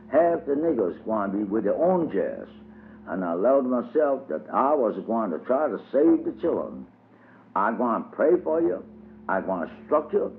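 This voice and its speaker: A man's voice: mid-range voice, vocal fry, voice pushed up. A man voicing an earnest old male folk preacher who teaches children in a drawling, sermonizing dialect. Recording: tinny lo-fi old recording with faint hiss.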